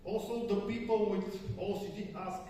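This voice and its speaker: A man with a Bulgarian accent giving a conference talk as a psychotherapist, speaking haltingly with many fillers and stutters. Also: high voice, steady tone, dark tone, slight background noise. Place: large conference hall. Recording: distant, echoey hall recording.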